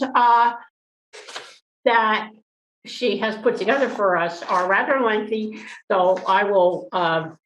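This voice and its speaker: A woman runs a candidates forum and lays out the rules and timing. She talks haltingly, with fillers and restarts. Her voice rings in a roomy space over a faint hiss. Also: mid-range voice, a bit bright. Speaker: forum moderator. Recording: echoey room with faint noise.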